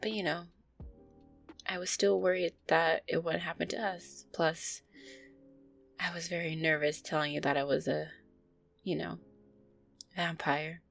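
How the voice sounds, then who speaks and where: Woman acting as vampire girlfriend, slightly low voice, soft and hesitant, tender, affectionate worrier, intimate audio roleplay, music behind. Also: flat delivery, unhurried, creaky, long pauses. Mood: affectionate, nervous. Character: gentle, anxious, affectionate centuries-old female vampire girlfriend.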